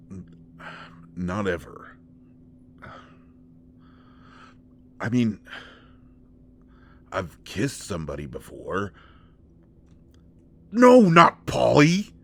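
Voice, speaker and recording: Man acting a very deep-voiced, hesitant, bashful young guy. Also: creaky, exaggerated voice. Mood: exasperated. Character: shy, awkward young male shapeshifter confessing to a close friend. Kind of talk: intimate roleplay audio drama.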